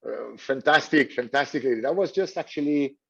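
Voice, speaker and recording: A man answers an interviewer's questions, stopping and restarting often and leaning on "you know" between phrases. His voice sits in an ordinary middle pitch. The recording is a little dull and lo-fi. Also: vocal fry, a bit bright. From Switzerland. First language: French. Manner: halting, many "you know" fillers and repeated words. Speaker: hotel general manager. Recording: dull lo-fi audio.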